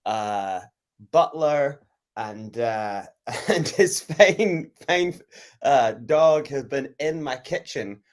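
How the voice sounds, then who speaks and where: A man's voice: mid-range voice, lively intonation, extremely slow. A man co-hosting a fan show, chatting casually with stop-start sentences and fillers. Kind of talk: casual banter.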